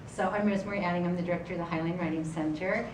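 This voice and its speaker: Brief: woman writing center director, relaxed panel talk, lots of ums, distant and roomy. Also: fairly low pitch, very fast. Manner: relaxed, many ums and restarts. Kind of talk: conference panel presentation. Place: conference room. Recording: echoey room, distant mic, background noise.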